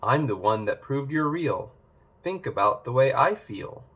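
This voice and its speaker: A man's voice: mid-range voice, lively intonation, measured pace, a bit bright, slightly rough. A man voicing a small, proud, indignant talking pea in a storybook read-aloud.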